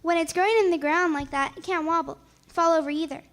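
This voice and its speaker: A woman's voice: very high voice, steady tone, quick pace, fairly bright tone. A woman playing a chirpy, inquisitive little neighbourhood girl in a 1940s radio comedy, eager and childlike. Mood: eager, admiring.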